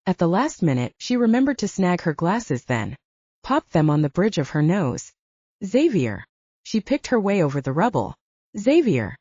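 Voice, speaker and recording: A woman's voice: on the low side, sing-song, normal speed, darkish tone, no room sound. A woman narrating fiction as a scripted, dramatic audiobook reading. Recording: slightly dull, dry close recording.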